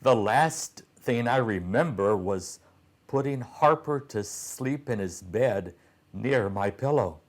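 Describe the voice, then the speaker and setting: A man's voice: mid-range voice, expressive, takes it slowly. A man voicing a curious, puzzled young boy in a storybook, with a wondering, questioning manner.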